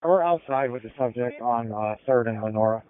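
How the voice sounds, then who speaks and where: A man's voice: mid-range voice, a little fast, clean tone. A man calling in to dispatch as a police officer, heard over a scanner radio. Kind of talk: radio call-in. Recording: phone-like narrow-band police radio.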